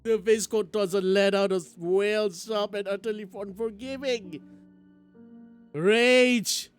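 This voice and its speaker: A man streaming a game, reading its story aloud in an expressive, theatrical way. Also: sits high, slow, smooth, faint music behind. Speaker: streamer playing a visual novel. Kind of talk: dramatic read-aloud narration with live reactions.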